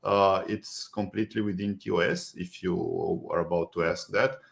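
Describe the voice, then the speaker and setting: A man with a Romanian accent, a podcast guest in a casual interview, joking and pausing often with fillers. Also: low-pitched, fairly even intonation, gravelly. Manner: joking, halting with many uh fillers. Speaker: podcast guest, agency co-founder.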